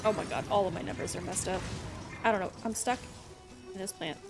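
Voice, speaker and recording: A woman's voice: medium pitch, brisk. A young woman doing casual live gameplay commentary as a streamer, wry, rambling and playful. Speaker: game streamer. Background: game music.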